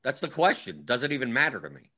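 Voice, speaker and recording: A man's voice: mid-range voice, sing-song, fast, bright, forceful tone. A man in his forties hosting a casual livestream chat, wry and grumbling, often repeating himself. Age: 40s. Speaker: livestream host.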